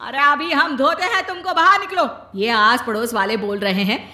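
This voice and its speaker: A woman's voice: high voice, normal speed, sharp tone, roomy sound. A woman voicing a proud, short-tempered middle-aged mother-in-law in a cartoon story, blunt and scolding. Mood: angry, offended.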